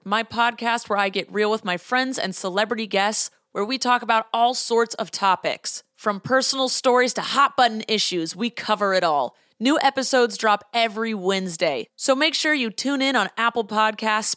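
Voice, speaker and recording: A woman reads a podcast promo as its host. Her voice sits at a medium pitch in a very dry recording. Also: fairly bright tone, no echo.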